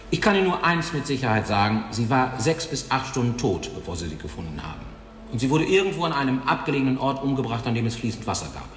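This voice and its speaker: An actor in a radio play voicing a blunt, matter-of-fact coroner who talks coarsely. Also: male voice, fairly low pitch, reverberant, big-room sound, lightly acted. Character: gruff cynical male coroner, older, blunt. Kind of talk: radio drama acting.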